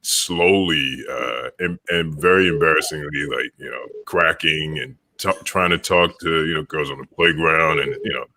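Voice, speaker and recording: A man who sings bass, telling stories in a relaxed way with many fillers on a video call. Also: normal speed, few pauses, a little hiss or hum. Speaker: opera singer, bass. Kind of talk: casual panel chat.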